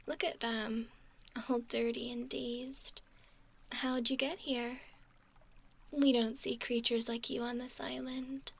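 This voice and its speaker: A woman's voice: on the high side, exaggerated voice. A woman voicing an alluring, mischievous young mermaid in a whispery ASMR roleplay, coy and teasing as she speaks. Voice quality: breathy, often whispery.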